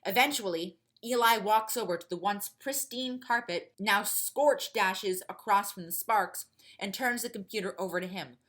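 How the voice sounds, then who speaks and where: A woman's voice: mid-range voice, moderate pace, a bit bright. A woman reading scripted fiction as the narrator of a story podcast.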